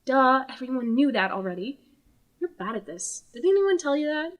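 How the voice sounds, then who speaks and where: A sardonic god played by a woman hosts a talk show in a comic audio drama. The voice sits fairly high and swings widely in pitch, teasing and theatrical, with sarcasm throughout. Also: very animated, normal speed. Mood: sarcastic teasing.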